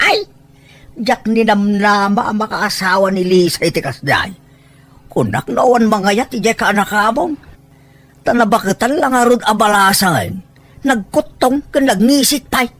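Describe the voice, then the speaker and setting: Woman acting a husky, animated older mother. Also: mid-range voice, measured pace. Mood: gossipy. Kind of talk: dialogue in an Ilocano family audio drama.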